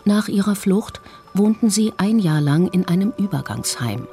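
A woman narrating a radio documentary in a calm, measured first-person voice. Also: medium pitch, soft background music. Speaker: feature author and narrator.

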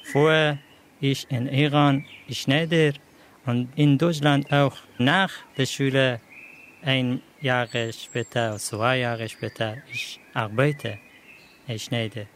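Young Afghan man in his twenties, slow broken German, clear background noise around him. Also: mid-range voice, fairly even intonation. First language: not German. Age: 20s. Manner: broken, simple learner German. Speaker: interviewee.

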